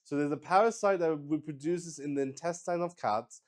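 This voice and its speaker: A man's voice: on the high side, moderate pace, darkish tone. A man hosting a casual livestream, thinking aloud and rambling in a hesitant way. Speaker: streamer hosting a solo tabletop roleplay stream. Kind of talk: casual improvised livestream chat.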